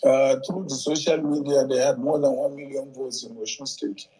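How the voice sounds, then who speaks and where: A man with a Nigerian accent giving unscripted political analysis in a halting, measured way, often repeating words. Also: medium pitch, steady tone, mellow, slightly rough, low-quality recording.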